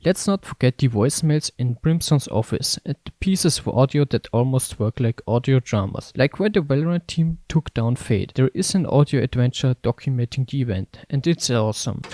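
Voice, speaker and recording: A man's voice: mid-range voice, expressive, moderate pace, hardly any pauses, warm tone, dry recording, studio quality. A man reading scripted narration as a video essayist reviewing games.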